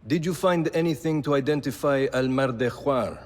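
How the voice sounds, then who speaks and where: An actor playing a grave secret-order leader in a video game, measured and authoritative, with a husky voice. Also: male voice, medium pitch, close to an everyday voice. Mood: grave, commanding. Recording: long hall reverb.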